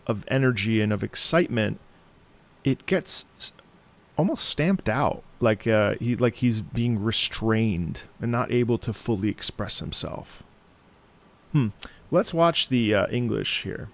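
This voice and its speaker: A man's voice: mid-range voice, lively intonation, unhurried. A man giving reflective reaction commentary on music, musing and searching for his words as he goes.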